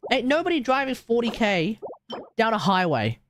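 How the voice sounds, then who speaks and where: Animated, rambling guy streaming. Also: extremely high pitch, normal speed, slight background noise. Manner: animated, rambling, exclamatory, self-correcting. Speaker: live streamer. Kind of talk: casual livestream chat while gaming.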